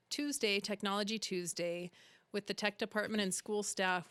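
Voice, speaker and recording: Woman, district technology officer, crisp clear voice, dry sound, prepared community update. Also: mid-range voice, fairly bright tone, no echo. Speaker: school district chief information officer.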